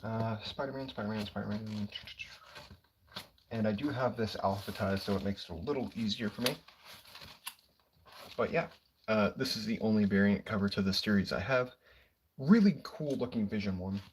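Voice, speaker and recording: A young man talking quietly and casually on a livestream, rambling about comic books with lots of fillers. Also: on the low side, moderate pace, pauses often, slight background noise, low-quality recording. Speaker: comic book streamer.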